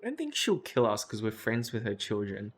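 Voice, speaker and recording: A man's voice: on the low side, huge pitch swings, brisk, heavy background noise. A young man chatting casually and slangily as he reacts to a game on a livestream. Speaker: game streamer. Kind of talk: casual gaming reaction chat.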